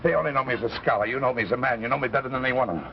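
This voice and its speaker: An actor playing an obsessed, desperate professor in theatrical, insistent 1950s science fiction drama style. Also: male voice, mid-range voice, very fast, creaky, close to an everyday voice. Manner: theatrical, impassioned, insistent rhetoric. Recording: dull, noisy 1950s broadcast recording.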